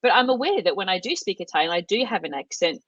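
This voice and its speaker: A woman's voice: medium pitch, lively intonation, fast, fairly bright tone, no room sound, highs cut off. A middle-aged Australian woman chatting casually as an interview guest, with lots of fillers and restarts. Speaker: guest, language course creator.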